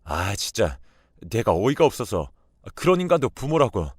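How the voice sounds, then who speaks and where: A man's voice: on the low side, very animated, normal speed, slightly rough, dry recording, clean studio sound. A man voicing an indignant husband in a read-aloud story. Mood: enraged. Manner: loud, indignant. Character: gentle husband in a rage.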